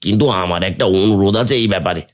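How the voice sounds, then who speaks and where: A man voicing Nimai, a poor and kind village man in a Bengali cartoon, who talks earnestly and thinks out loud. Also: sits low, racing, lightly acted. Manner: earnest, thoughtful, speaking his thoughts aloud. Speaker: voice actor.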